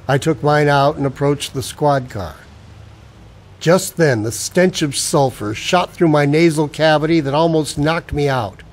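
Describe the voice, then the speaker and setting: A man's voice: on the high side, a little slow, lightly acted. An actor playing a haunted married man, narrating in a terse, brooding radio-play noir style. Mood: grim.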